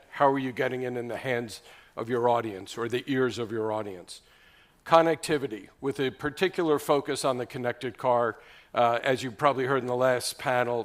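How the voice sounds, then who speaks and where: A man moderating a conference panel on radio, laying out topics in a brisk, structured way. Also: mid-range voice.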